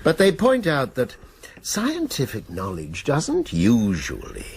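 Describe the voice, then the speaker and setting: An actor playing an older, diplomatic college Master in a radio drama, donnish and gently persuasive. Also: male voice, medium pitch, huge pitch swings, slow pace, creaky, husky, exaggerated voice. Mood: tactful persuasion.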